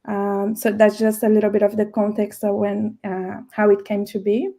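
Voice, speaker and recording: A woman artist introduces her work to a panel in a casual, halting way, with plenty of fillers. Her voice is mellow and gravelly. Her pitch stays flat and level throughout. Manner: hesitant, with many fillers.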